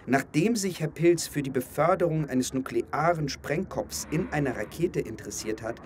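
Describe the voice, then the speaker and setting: A man's voice: a little high, lively intonation, normal speed, a little mellow, a little hiss or hum, natural voice. An actor playing an earnest, indignant young German man who recounts a court trial in a radio drama.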